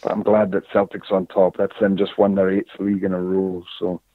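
Man from scotland, lowish voice, halting with fillers, dry tinny phone-line sound. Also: completely flat delivery, no room sound. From Scotland, raised in northern England. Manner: hesitant, many fillers and restarts. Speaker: guest, former football player. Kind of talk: casual interview, storytelling.

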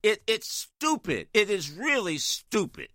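A man hosting a talk radio show, ranting in an animated, exasperated way with many stammered restarts, his voice getting worn. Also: high voice, measured pace, no room sound, studio quality. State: losing his voice. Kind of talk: opinionated talk radio rant.

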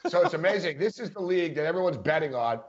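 Man, sports talk co-host, slightly high voice, casual chatter, dull lo-fi recording. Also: expressive, fast talker. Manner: chatty, laughs often, many fillers and restarts. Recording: dull lo-fi sound.

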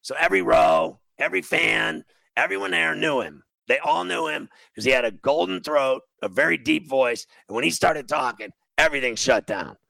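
Gravelly man. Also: on the high side, lively intonation, moderate pace, sharp tone, dry recording, rough recording. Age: 50s. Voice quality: gravelly, grating voice. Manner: rambling, self-mocking, full of fillers. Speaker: radio show host. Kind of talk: storytelling monologue on a radio show.

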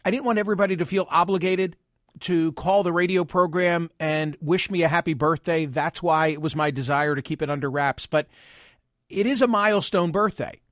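Man, 60s, host; measured, wry monologue, high-pitched and dry. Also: a bit bright, dry recording. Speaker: podcast and radio host. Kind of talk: reflective personal monologue.

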